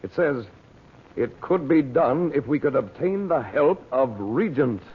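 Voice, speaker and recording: Male actor as authoritative adult adventurer, brisk and impatient, lively intonation, vintage radio serial, phone-like narrow sound. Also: medium pitch, natural voice. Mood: intent, commanding. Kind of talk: 1930s-40s radio serial acting. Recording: tinny, noisy old radio recording.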